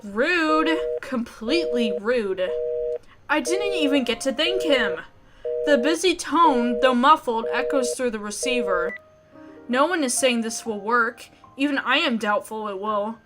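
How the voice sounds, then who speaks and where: A woman reading a story aloud in an expressive, theatrical way. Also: fairly high pitch, measured pace. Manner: theatrical, emotive. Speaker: video game player reading a visual novel aloud. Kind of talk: expressive dramatic read-aloud narration. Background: background music.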